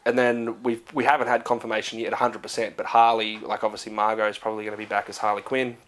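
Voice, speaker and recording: A man's voice: fairly low pitch, level tone, fast talker, a bit bright. A man with an Australian accent, co-hosting a casual movie chat, rambling with many fillers and restarts. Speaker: co-host.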